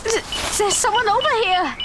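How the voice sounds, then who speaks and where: A woman's voice: very high-pitched, lively intonation, normal speed, heavy background noise. A woman playing an outspoken, forthright teenage girl in an audio drama. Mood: brisk.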